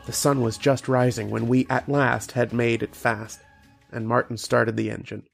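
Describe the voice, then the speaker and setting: A man playing a grave, haunted archaeologist in old-time radio horror, with dramatic, deliberate delivery. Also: medium pitch, little pitch movement, gravelly, faint music behind. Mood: grim narration.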